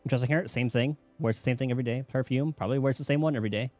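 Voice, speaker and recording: A male game streamer with a medium-pitched voice. He chats casually and rambles in an offhand way over faint background music. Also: rapid-fire, darkish tone, no echo. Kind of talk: casual gameplay commentary.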